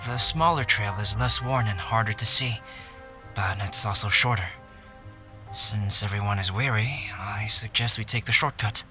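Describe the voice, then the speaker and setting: A man voicing a calm, composed expedition guide in a game, speaking in a measured, matter-of-fact way. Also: on the low side, faint music behind. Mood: calm, decisive. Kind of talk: scripted game voice acting.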